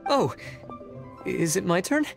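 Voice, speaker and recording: A man playing a timid, awkward civil servant in a video game, halting nervously through pedantic detail. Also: high-pitched, big pitch swings, gravelly, music in the background, exaggerated voice. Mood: hesitant. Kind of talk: scripted video game dialogue.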